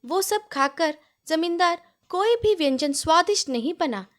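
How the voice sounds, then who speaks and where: High-pitched, slow woman storyteller. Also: expressive. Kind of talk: scripted story narration.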